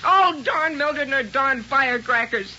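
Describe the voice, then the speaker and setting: A man's voice: very high-pitched, animated, measured pace. An actor playing an earnest, dramatic sixteen-year-old American boy, impassioned and boyishly pompous, in old radio drama style. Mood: bitter, furious. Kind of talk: 1950s radio drama acting. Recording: muffled, noisy old radio broadcast.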